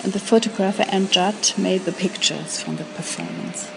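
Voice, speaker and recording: A woman's voice: mid-range voice, normal speed, gravelly, background noise. A woman with a German accent, a native German speaker, giving informal interview answers as a curator and searching for her English words. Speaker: exhibition curator being interviewed. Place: indoors in a gallery exhibition. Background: exhibition film soundtrack music.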